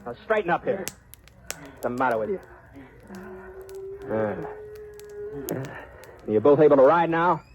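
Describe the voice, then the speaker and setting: An actor playing an easygoing cowboy drifter in a radio western, talking in a folksy, halting, understated way as narrator and peacemaker. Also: male voice, fairly high pitch, lively intonation. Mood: firm, calming. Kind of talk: 1950s radio western acting and first-person narration. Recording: dull old radio recording, music behind.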